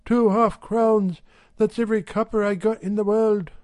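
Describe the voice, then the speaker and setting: Plump, sly wife, high and purring, voiced by a man reading a story. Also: little pitch movement, moderate pace, darkish tone, no room sound, close to an everyday voice. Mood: coaxing. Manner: insidious, cat-like wheedling.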